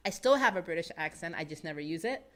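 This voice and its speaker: American woman, thirties, live-stream host; chatty, laughs a lot, a touch low-pitched, close boom mic in a small home studio. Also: fast talker. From United States. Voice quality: chatty and animated, laughs often, thinks aloud with fillers. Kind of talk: casual chat. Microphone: boom-arm studio microphone close to the mouth.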